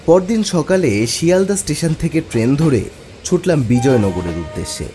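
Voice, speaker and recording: Young government officer telling his cartoon ghost story; animated delivery, music underneath. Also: on the high side, moderate pace. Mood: matter-of-fact. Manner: expressive, storytelling. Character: young unmarried government officer narrating his eerie experience. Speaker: narrator and lead character. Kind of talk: dramatic first-person horror story narration. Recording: music behind the voice.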